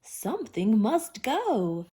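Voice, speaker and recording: A woman voicing a grand, excitable wealthy gentleman in a children's storybook, with theatrical exclamations. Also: a little high, slow pace, slightly rough, full character voice. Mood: aghast. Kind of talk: children's storybook read-aloud.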